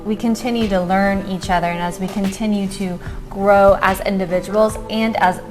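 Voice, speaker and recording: A woman's voice: mid-range voice, fairly even intonation, normal speed, over music. A middle-aged woman co-hosting a casual vlog chat, talking with enthusiasm and supportive agreement. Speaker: co-host of a couple's book-review vlog.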